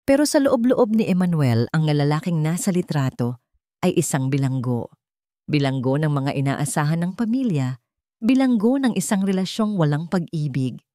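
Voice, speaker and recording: A woman's voice: sits low, lively intonation, moderate pace, dark tone, no echo, studio quality. A woman reading scripted story narration in a dramatic storytelling style.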